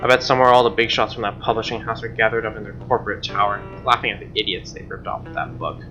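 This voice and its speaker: A man's voice: on the low side, steady tone, quick pace, sharp tone. A man doing a let's play, reading visual novel narration aloud with casual commentary over game music, on a lo-fi mic.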